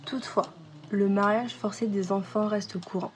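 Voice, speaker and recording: A woman's voice: mid-range voice, fairly even intonation, moderate pace. A woman reading formal, informative narration from a script as a documentary narrator.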